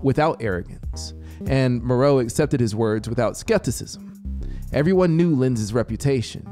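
A man in his thirties reads a scripted story in a deliberate, dramatic manner with pauses, close on a studio microphone. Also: mid-range voice, lively intonation, dark tone. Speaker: audiobook narrator. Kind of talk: scripted story narration over soundscape. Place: small home music studio, indoors. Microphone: close studio microphone with headphones. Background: atmospheric synth music.

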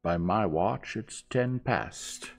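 A man's voice: lowish voice, lively intonation, slow pace, vocal fry, pitched above the performer's own voice, heavily acted. A man voicing an imperious, fault-finding old lady with a snappish, condescending manner in an audiobook. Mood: snappish, irritated. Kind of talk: audiobook character acting.

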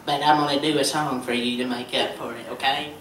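Man, middle-aged, deadpan folksy stage banter, distant. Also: medium pitch, fairly quick, noisy background. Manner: folksy deadpan joking with the crowd. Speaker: bluegrass band frontman. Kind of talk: stage banter between comic songs. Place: theater stage before a live audience. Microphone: stage vocal mic, recorded by a far camera. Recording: echoey hall recorded from far off. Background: loud guitar and band music.

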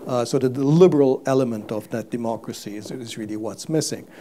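An older man from Turkey, a guest on a live stage interview, speaking haltingly with many fillers and restarts, recorded on a lapel mic. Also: medium pitch, dark tone. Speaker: guest economist. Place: stage before a live audience.

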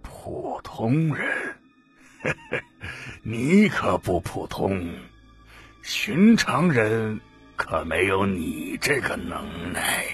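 A man's voice: lowish voice, big pitch swings, extremely slow, pauses often, faint music behind, exaggerated voice. A man playing a sly old cult member in an audiobook, drawling and mockingly polite. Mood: mocking amusement. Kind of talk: dramatic audiobook acting.

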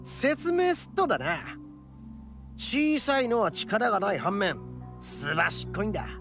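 A man voicing a boisterous, cocky young male oni in an anime-style game, with brash, rough, boastful speech. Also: high-pitched, wildly swooping pitch, unhurried, full character voice. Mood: confident, explaining. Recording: studio voice over game music. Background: background music.

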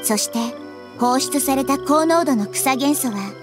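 A woman's voice: sits high, measured pace, music in the background. A woman voicing a small, childlike nature goddess in a game, wise, thoughtful and articulate. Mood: grave, worried. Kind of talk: scripted game dialogue.

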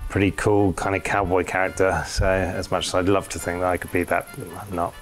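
A man's voice: sits low, flat delivery, brisk, music in the background. A man from the UK, an actor, talking casually in a press interview about his film. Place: barn interior.

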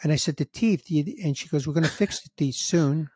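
A man in his sixties hosting a podcast, telling a story in a casual, animated way and acting out the dialogue, with some emotion. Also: fairly high pitch, a little fast, husky, no echo, clean studio sound. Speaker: podcast host, comedian. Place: home room with record shelves. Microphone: studio mic on boom, headphones, video call.

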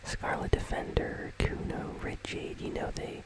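Hushed ASMR whisperer hosting gaming commentary. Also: measured pace. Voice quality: breathy, unvoiced whisper. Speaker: host of a gaming channel. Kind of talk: ASMR whispered commentary on a game item shop.

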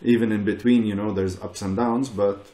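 A middle-aged man talking as a panel guest over a video call from his living room, relaxed and peppered with "you know". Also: fairly low pitch, moderate pace, roomy sound, slight background noise. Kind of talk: casual panel talk.

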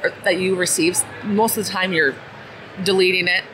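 A woman answers interview questions in a relaxed, rambling way, full of fillers and "like"s. Her voice sits on the low side. A clear crowd din from the convention floor fills the background. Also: normal speed. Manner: relaxed and chatty, many fillers and likes. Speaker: interviewee, company CEO. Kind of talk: casual interview chat. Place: busy conference floor. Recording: noisy convention floor recording.

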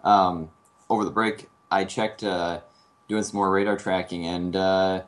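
A man with a very low voice speaks as a guest on a casual talk show. He explains calmly and steadily, filling his pauses with "uh" and "um". His tone is plain and conversational. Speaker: guest, air traffic controller. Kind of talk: casual call-in chat.

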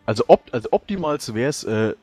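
A man works through tactics out loud, stumbling and restarting as he thinks. He is a player in a tabletop game, with faint music in the background. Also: mid-range voice, lively intonation, a little fast, slightly rough. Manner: hesitant, many restarts and fillers. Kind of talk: strategy discussion in a tabletop game.

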